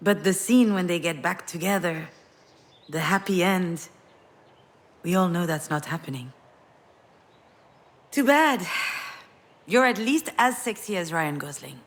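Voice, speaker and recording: A woman's voice: on the low side, expressive, normal speed, long pauses. An actress playing a wistful, wounded woman parting from her ex, speaking in a reflective, intimate way in a naturalistic game performance. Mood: teasing. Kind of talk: naturalistic visual novel voice acting.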